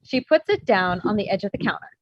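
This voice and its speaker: Lively woman reading screenplay stage directions. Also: medium pitch, fast talker, dull audio, slight background noise. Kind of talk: scripted screenplay narration for a table read. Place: indoors, remote virtual table read.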